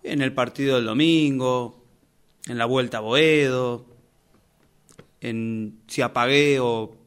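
A man's voice: medium pitch, close to an everyday voice. A man playing a distracted, evasive psychoanalyst in an amateur radio play, with a Rioplatense Argentine accent and a hesitant, placating, formal manner. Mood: awkward candour. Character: distracted, evasive male psychoanalyst covering for himself. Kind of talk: amateur radio theatre acting.

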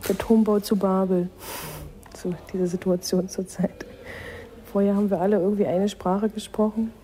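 A woman reflects seriously in a mellow voice with little pitch movement. Faint ambient noise sits behind her.

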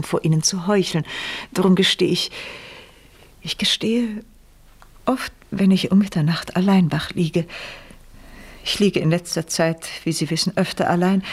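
Breathy, theatrical noblewoman. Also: fairly low pitch, heavily acted. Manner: theatrical, formal, breathy confessional delivery. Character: proud, wounded French noblewoman feigning sincere candour. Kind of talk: German radio play acting, period drama.

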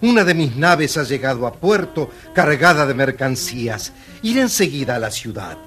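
An actor playing a kindly merchant father who speaks gently to his children. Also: mid-range voice, very animated, normal speed, soft background music. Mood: warm.

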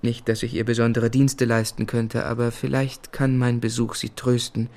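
An actor playing a courteous, affectionate young man who tells his life story in measured phrases in a radio play. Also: medium pitch, level tone, darkish tone, natural voice. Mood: friendly, eager. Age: young adult. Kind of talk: literary first-person narration and radio play acting.

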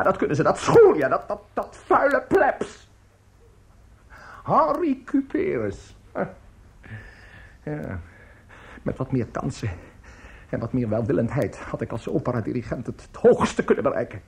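An actor playing a bitter, self-pitying, tipsy middle-aged conductor in a radio play, husky and halting as he grumbles. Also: fairly high pitch, exaggerated voice. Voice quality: husky, rough. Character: bitter, drunken, self-pitying provincial conductor nearing fifty.

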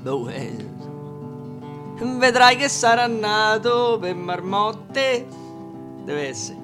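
A man's voice: high voice, very animated, drawn-out words, heavily acted. A man voicing a wife grumbling about her husband, half sung over a guitar. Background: guitar accompaniment.

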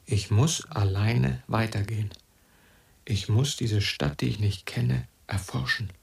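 A man's voice: fairly low pitch, fairly even intonation, slow pace, vocal fry, echoey room, slight background noise. An actor playing a forsaken, restless young man in a radio drama, brooding aloud in an intense, declamatory monologue. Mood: desolate.

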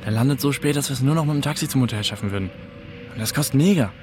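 A man's voice: mid-range voice, very fast, noisy background, lightly acted. A man playing a brash, scheming young traveller in slangy, naturalistic radio drama dialogue. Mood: pragmatic. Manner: slangy, colloquial.

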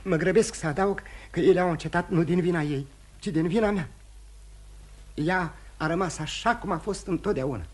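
An actor playing a remorseful, courteous, dissolute gentleman husband in theatrical radio drama style, candid and halting with repetitions. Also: sits high. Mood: earnest, candid. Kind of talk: mid-century Romanian radio theatre acting.

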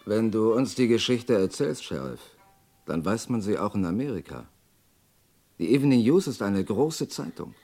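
An actor playing a rough, familiar, coaxing townsman in a 1960s German western radio play, gruff and low-key. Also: animated, normal speed, a little mellow, soft background music. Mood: gruff, prodding.

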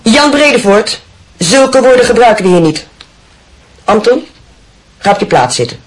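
An actress playing a strict but patient classroom teacher in a radio drama, speaking in a firm, scolding tone. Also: female voice, fairly high pitch, lively intonation, normal speed, lightly acted, low-quality recording. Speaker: schoolteacher.

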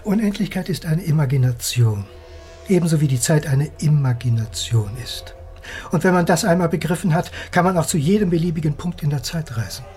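A man's voice: fairly high pitch, expressive, brisk, dark tone, soft background music, close to an everyday voice. An actor in a radio play playing an eccentric, arrogant man obsessed with time travel, lecturing with impatient condescension. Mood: smug, lecturing. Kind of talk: German radio drama dialogue.

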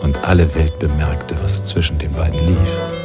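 An actor playing a reflective, nostalgic male narrator in a noir radio drama, speaking in a measured, literary manner. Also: a bass voice, level tone. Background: jazz music.

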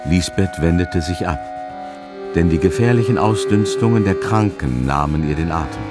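Deep, expressive male narrator. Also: moderate pace. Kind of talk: scripted literary narration for radio drama. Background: faint music.

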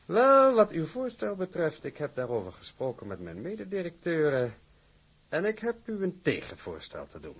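Swooping, suave company director. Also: male voice, fairly high pitch, wildly swooping pitch, normal speed, husky, exaggerated voice. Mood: courteous. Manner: formal, patronising. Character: suave, condescending male shipping company director. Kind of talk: Dutch radio drama acting.

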